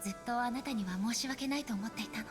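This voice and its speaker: An actress playing a gentle, serene woman bidding a wistful farewell in an anime-style game cutscene. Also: fairly high pitch, very fast, close to an everyday voice. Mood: tender, wistful. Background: prominent game music.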